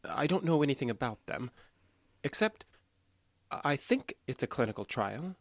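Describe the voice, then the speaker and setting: A restrained, dry-recorded, detached boyfriend. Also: male voice, mid-range voice, normal speed, dry recording. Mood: earnest. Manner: flat, hesitant, literal, restrained. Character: calm, emotionally detached man, awkward and earnest boyfriend. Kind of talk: naturalistic audio drama acting.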